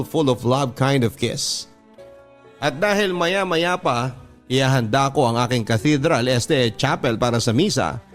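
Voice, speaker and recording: A man with a Filipino accent reading a first-person story as a radio drama narrator, in a sentimental manner. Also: medium pitch.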